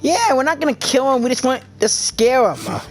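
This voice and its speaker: A woman's voice: high-pitched, wildly swooping pitch, moderate pace, over music. A woman voicing an earnest, easygoing young traveler in a video game, speaking in brief, casual phrases. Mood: casual, curious.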